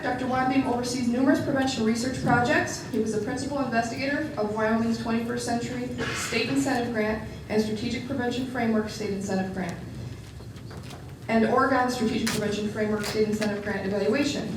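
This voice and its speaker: A woman formally reading out a speaker's introduction at an event. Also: a little high. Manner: formal, reads from script. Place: large hall. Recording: echoey hall, distant mic, background noise.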